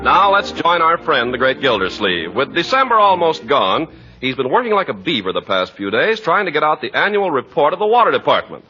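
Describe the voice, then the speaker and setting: A man's voice: on the high side, big pitch swings, fairly quick, sharp tone, faint music behind. A man working as a 1940s radio announcer, reading a script with polished, emphatic salesmanship. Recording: muffled 1940s radio recording.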